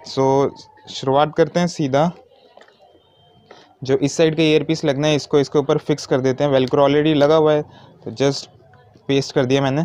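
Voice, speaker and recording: A man's voice: medium pitch, darkish tone, clean tone. A man giving an informal hands-on tutorial step by step, mixing in English words. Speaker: motovlogger giving a how-to demonstration. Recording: dull, muffled lo-fi sound.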